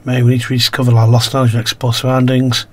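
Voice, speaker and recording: A man with a croaky, slightly coughing throat, casually commentating a game, reading on-screen text aloud and muttering to himself as he plays. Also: medium pitch, completely flat delivery, fast. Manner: mumbles to himself while playing, reads game text aloud. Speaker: gaming video host. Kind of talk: casual let's-play commentary.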